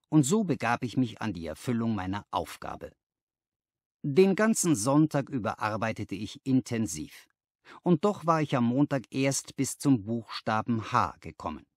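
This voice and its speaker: A man's voice: mid-range voice, very animated, measured pace, dry recording, clean studio sound. A man reading a story aloud as an audiobook narrator, in scripted first-person narration.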